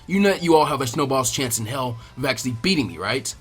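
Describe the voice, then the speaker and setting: Male reader as gruff anime ninja instructor, lowered pitch, smug and derisive. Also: animated, fast, a bit bright, faint music behind.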